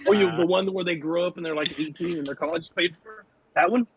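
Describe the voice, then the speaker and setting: A man in his forties throwing in quick reactions and chuckles during casual gaming banter. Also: sits high, crowd noise. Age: 40s. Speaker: podcast co-host.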